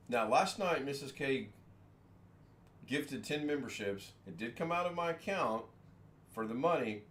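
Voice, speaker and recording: A man's voice: lowish voice, slight background noise. Rambling, chuckling man hosting a home livestream. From United States. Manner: rambling, self-deprecating, chuckling often. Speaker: livestream host. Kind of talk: casual livestream chat. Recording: echoey room with faint ambience.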